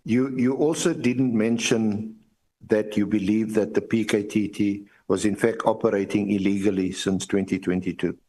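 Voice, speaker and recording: A man with a fairly low voice and a South African accent puts formal questions at a hearing. He is deferential in a lawyerly way, often repeating words as he works toward each point. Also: fairly even intonation, dark tone, low-quality recording. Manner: halting, repetitive phrasing with lawyerly deference. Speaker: evidence leader questioning a witness.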